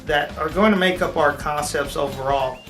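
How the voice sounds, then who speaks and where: A man from the United States introducing himself as a concept designer, in measured phrasing. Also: on the high side. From USA. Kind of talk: scripted self-introduction. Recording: voice over music.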